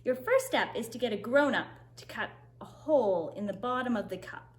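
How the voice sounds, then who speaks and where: A woman teacher speaking to kindergarteners, expressive and simply instructive, as in story time and craft lessons. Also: a little high, a little fast. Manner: expressive, simple instructive phrasing for young children. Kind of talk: storybook read-aloud and craft instructions for children.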